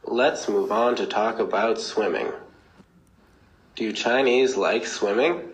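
A man voicing a formal male speaking-test examiner who asks brisk, set questions. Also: lowish voice, breathy edge, voice pushed up, low-quality recording.

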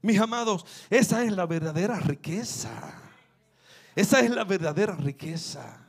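A man's voice: high voice, expressive, normal speed, vocal fry, husky. A man preaching a sermon, fervent and repetitive, building to emphatic peaks. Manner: fervent, rising to emphatic peaks, repeats phrases.

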